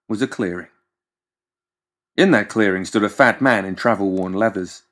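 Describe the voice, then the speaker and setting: A man reading scripted fiction as an audiobook narrator, with expressive storytelling. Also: on the low side.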